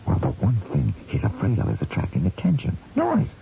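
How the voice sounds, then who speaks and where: An actor playing a practical, steady husband in a 1970s radio drama, with a low, gravelly voice. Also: male voice, a little fast, loud music behind the voice, heavily acted. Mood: urgent, planning. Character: practical, steady husband outwitting a hired killer. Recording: muffled, noisy 1970s radio recording.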